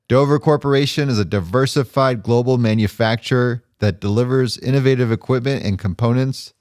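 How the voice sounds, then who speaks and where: A man hosting a business podcast, speaking in a friendly, relaxed way, recorded on location at a guest's corporate office. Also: mid-range voice, no room sound, clean studio sound. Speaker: podcast host.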